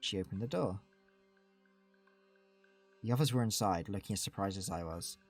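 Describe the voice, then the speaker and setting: A man's voice: fairly low pitch, lively intonation, moderate pace, faint music behind. A man reading a game aloud as a streamer, giving dramatic first-person narration of a visual novel.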